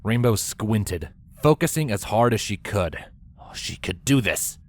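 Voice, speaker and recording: A man's voice: fairly low pitch, expressive, unhurried. A man reading scripted story narration as the narrator of a tale.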